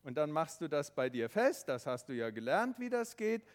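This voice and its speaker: A man voicing a steady father who calmly reassures his son and explains how to tie a rope for a rescue. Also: fairly high pitch, moderate pace. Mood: reassuring. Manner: reassuring, instructing.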